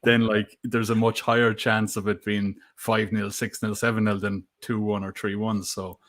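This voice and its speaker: A man's voice: on the low side, flat delivery, normal speed, fairly bright tone. A man co-hosting a live betting stream, thinking aloud in a casual way, with lots of fillers and restarts. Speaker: co-host and betting tipster.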